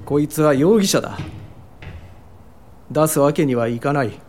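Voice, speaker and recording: A man's voice: on the high side, expressive, measured pace, a little mellow. A man playing a stern, officious police officer at a jail in a radio drama. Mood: stern, exasperated. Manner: curt, officious.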